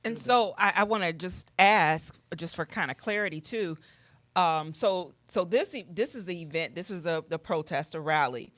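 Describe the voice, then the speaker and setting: A woman's voice: on the low side, moderate pace, fairly bright tone, no echo. A woman co-hosting a radio interview, carefully asking clarifying questions off the cuff. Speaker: radio show co-host.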